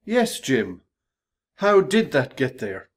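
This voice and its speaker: An actor playing a stern, suspicious company boss in a radio play, speaking formally and curtly. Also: male voice, mid-range voice, sing-song, slow. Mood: coolly probing. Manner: formal, curt, authoritative.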